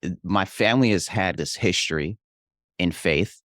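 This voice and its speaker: A man's voice: low voice, moderate pace, fairly bright tone, no room sound, clean studio sound. A young adult man from Hawaii, a real estate investor and pastor, telling his life story in an earnest, reflective way. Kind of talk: casual interview storytelling.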